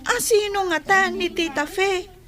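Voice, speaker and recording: Very high, sweet little girl. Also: unhurried, crowd noise. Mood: innocent, excited. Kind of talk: radio drama acting. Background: music.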